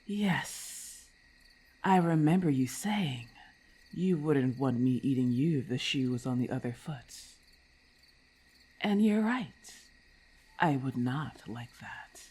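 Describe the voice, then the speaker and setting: A woman voicing a haughty, menacing female lamia in an ASMR roleplay, with a husky, breathy voice and formal, condescending diction. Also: very deep voice, animated, takes it slowly, long pauses, warm tone, heavily acted. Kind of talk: ASMR roleplay, breathy half-whispered acting.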